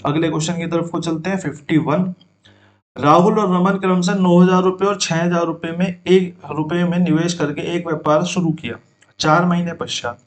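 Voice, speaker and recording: Young guy doing a math class, walks thru every step, voice a bit high. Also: fairly even intonation, moderate pace. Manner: talks students through each step, addressing them as brother. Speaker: math teacher. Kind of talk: exam-prep math lesson.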